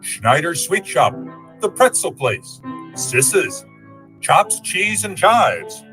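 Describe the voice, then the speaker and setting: A man's voice: sits high, very animated, drawn-out words, music in the background. A man reading as a scripted announcer in the style of old-time radio ads and sign-offs.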